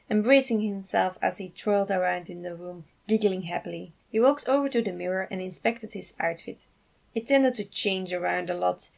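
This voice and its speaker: Woman reading fanfic out loud, expressive, lots of vocal fry. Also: mid-range voice. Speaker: narrator. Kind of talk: expressive fan fiction story reading.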